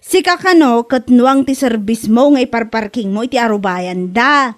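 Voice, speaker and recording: A woman's voice: fairly high pitch, normal speed, natural voice. A woman playing a nosy, teasing neighbour in a comedy audio drama, chatty and full of gossip. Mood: gossipy. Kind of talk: Ilocano comedy radio drama acting.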